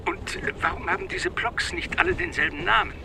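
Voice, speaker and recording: An older, learned police deputy in a radio crime play. The voice is very bright and highish, lively in pitch, with hesitant questions. Vehicle noise hums faintly. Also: male voice, fairly quick, gravelly, exaggerated voice. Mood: inquiring. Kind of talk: German radio crime drama acting. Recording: noisy vehicle ambience.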